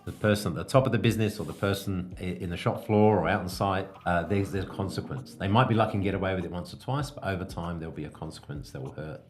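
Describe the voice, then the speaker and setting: A man hosting a business coaching podcast, explaining things in a measured, instructive way. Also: low-pitched, a little hiss or hum.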